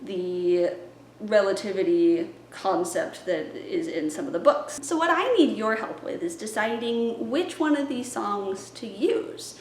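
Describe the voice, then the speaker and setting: A woman's voice: mid-range voice, expressive, a little slow, a little hiss or hum. A young woman, a children's librarian, talks through her story time plans and breaks into chanted rhymes and songs. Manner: playful, sings and chants rhymes. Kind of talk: planning story time for viewers. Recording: echoey room with faint music.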